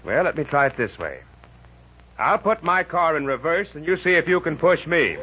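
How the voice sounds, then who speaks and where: A man's voice: on the high side, sing-song, moderate pace. A man playing a weary, patient, easily flustered middle-aged father in a 1940s radio comedy. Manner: flustered, long-suffering. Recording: dull, noisy 1940s radio recording.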